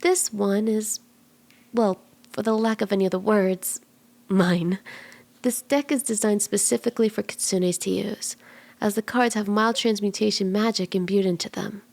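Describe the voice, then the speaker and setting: Kitsune tarot reader acted by a woman: flirty, playful, soft and intimate ASMR delivery with noticeable vocal fry and some whispering. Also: medium pitch, fairly even intonation, normal speed, close to an everyday voice. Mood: soft, mysterious. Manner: teasing, reassuring, conversational. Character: flirty, playful female kitsune fortune teller.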